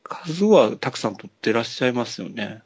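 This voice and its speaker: A man with a husky, gravelly voice chats casually as a podcast co-host. His pitch moves in a lively way, and he often chimes in to agree. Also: moderate pace, dry recording. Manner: reactive, agreeing interjections. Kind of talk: casual film discussion.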